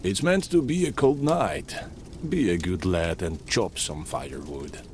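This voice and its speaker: A man's voice: low-pitched, lively intonation, measured pace, darkish tone, slight background noise. An actor playing a kindly, authoritative father in a fairy-tale game, giving paternal instructions. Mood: paternal, firm. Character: kindly, authoritative father, a woodland cabin dweller.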